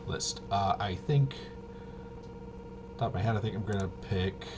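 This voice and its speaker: Low-voiced man thinking aloud, noisy recording. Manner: halting, rambling, thinking aloud. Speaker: host of a tabletop RPG channel. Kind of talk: casual unscripted rules walkthrough.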